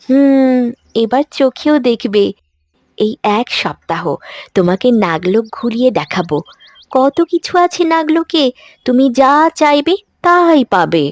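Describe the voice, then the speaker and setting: A woman's voice: high-pitched, very animated, a little slow, no room sound, studio quality. A woman playing a trusting, playful young serpent woman in a cartoon story. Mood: eager, affectionate.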